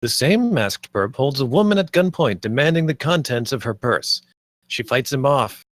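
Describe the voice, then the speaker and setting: Low-ish male screenplay narrator, dry sound. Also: lively intonation, normal speed, no room sound. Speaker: stage directions narrator. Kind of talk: scripted narration at a screenplay table read.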